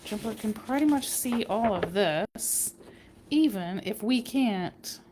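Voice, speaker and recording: A woman reading a children's picture book aloud, with expressive storytelling emphasis and chatty asides. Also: mid-range voice, slow pace, slight background noise. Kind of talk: animated read-aloud storytelling with casual asides.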